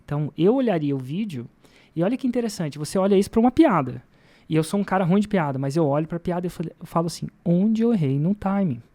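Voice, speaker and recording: A man's voice: high voice, expressive, fast, warm tone. A man with a Brazilian Portuguese accent hosts a podcast, talking informally and self-deprecatingly, repeating phrases for emphasis. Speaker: podcast host and marketing teacher. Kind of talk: casual podcast talk with anecdotes.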